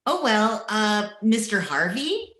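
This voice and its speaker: A woman's voice: medium pitch, sing-song, slow pace, a bit bright. A woman playing a droll, meddling housemaid, hesitant and deferential, in an old-time radio comedy, recorded in a noisy room. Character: drily meddling, servile female housemaid. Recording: roomy, clearly noisy home recording.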